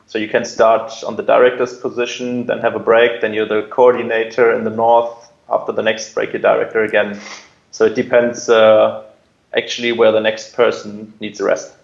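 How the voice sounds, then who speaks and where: Man, gravelly creak, conversational explaining, roomy echo, dulled audio. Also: lowish voice, completely flat delivery, moderate pace, lo-fi sound. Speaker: air traffic controller, interview guest. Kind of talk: conversational interview answers, explaining.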